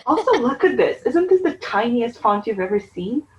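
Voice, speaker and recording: A woman from Bulgaria, co-hosting a casual book chat, talking in an animated, rambling way that often breaks off and restarts. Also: on the high side, normal speed, dull audio. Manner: chatty, animated, self-interrupting. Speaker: book channel co-host.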